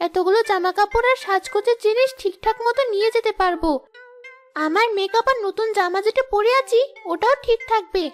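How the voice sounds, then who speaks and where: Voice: very high-pitched, a little fast, soft background music. A performer voicing a young ghost schoolgirl in a children's cartoon, polite and eager. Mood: relieved.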